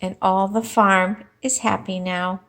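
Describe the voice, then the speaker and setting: A woman teacher reading a storybook aloud and talking to young children, expressive, with questions and pauses for them. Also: mid-range voice. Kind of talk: animated storybook read-aloud and lesson.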